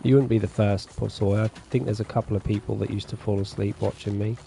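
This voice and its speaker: A man's voice: on the low side, fast talker, gravelly, a little hiss or hum. A man streaming a farming game, chatting casually with his viewers and reading their messages aloud. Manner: relaxed, chatty, reads chat aloud. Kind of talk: casual chat with viewers while gaming.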